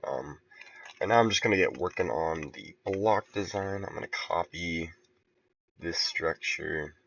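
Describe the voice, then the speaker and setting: Very deep gravelly young man streaming. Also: a little slow, no echo, muffled recording. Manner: relaxed, thinking aloud, soft chuckles. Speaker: game level creator streaming. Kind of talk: casual commentary while building.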